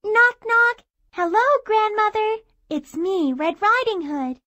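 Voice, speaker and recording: A woman's voice: very high voice, animated, slow pace, sharp tone, slight background noise, full character voice. A woman playing a sweet, innocent little girl in a children's fairy tale, polite and wide-eyed with a sing-song delivery. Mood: cheerful.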